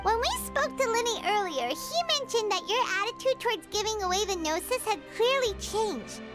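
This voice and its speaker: Very high childlike voice, excitable fairy companion, animated, perky, video game, loud music behind. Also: female voice, moderate pace. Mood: inquisitive. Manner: perky, exaggerated, chatty. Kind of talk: video game character acting. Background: children's-style game music.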